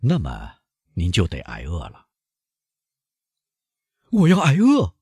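A man voicing a frantic aging male banker held captive, husky and shrill, pleading and desperate in a storybook reading. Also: wildly swooping pitch, slow pace, warm tone, no echo, clean studio sound, heavily acted.

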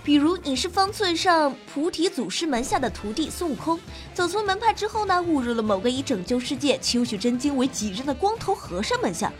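A woman's voice: sits high, sing-song, fairly quick, few pauses. A woman host reading scripted gaming narration in a playful, dramatic storytelling manner. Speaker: game show host and streamer. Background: background music.

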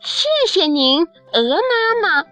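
Storybook tadpole youngster played by a woman: childlike, high, polite and eager, with lively swoops. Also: slow pace, dry recording, soft background music, heavily acted. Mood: grateful. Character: little tadpoles, eager polite children seeking their mother.

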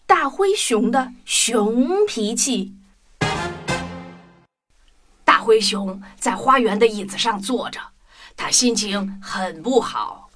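A woman's voice: on the high side, lively intonation, takes it slowly. A woman narrating a children's story in a scripted storytelling style. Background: music.

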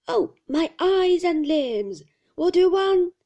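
A woman's voice: extremely high pitch, lively intonation, slow, warm tone, highs cut off, voice pushed up, exaggerated voice. A woman voicing a fierce, half-mad old male shopkeeper in a storybook, with a whining, throat-rattling, sing-song delivery. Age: older. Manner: wailing sing-song tune, rising and falling, exclamatory.

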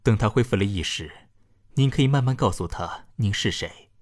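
An actor playing a calm, dutiful doctor in an audio drama, speaking in a measured, formal, politely firm way. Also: male voice, lowish voice. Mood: calm, clinical.

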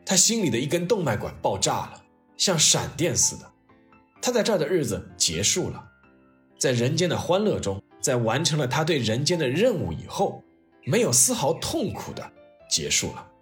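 A man hosting a history storytelling podcast, reading scripted narration in an expressive, reflective way with rhetorical questions. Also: medium pitch, moderate pace. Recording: music playing behind the voice. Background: soft piano and orchestral music.

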